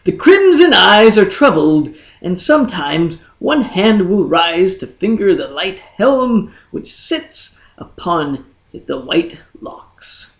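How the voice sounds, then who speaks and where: A storyteller reading a fantasy tale in a dramatic, deliberate manner with lingering pauses. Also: medium pitch, big pitch swings, rough recording. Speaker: audiobook reader. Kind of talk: dramatic scripted fantasy narration.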